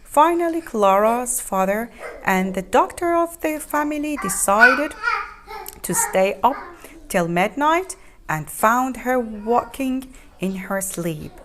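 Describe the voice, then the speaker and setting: A woman reads a children's story aloud, haltingly, repeating and explaining words as she goes. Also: fairly high pitch, expressive, fairly bright tone, a little hiss or hum. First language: not English.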